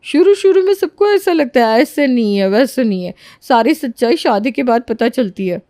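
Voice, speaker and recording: A woman's voice: on the high side, animated, normal speed, warm tone, no echo, studio quality, close to an everyday voice. A woman playing a worldly young friend who speaks in a cautionary way in a cartoon story. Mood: insistent warning.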